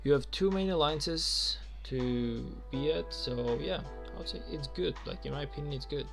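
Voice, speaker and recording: A man's voice: fairly high pitch, moderate pace, creaky, music in the background. A young man from Albania, chatting casually on a game stream and answering viewer questions with lots of fillers. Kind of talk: casual live-stream chat.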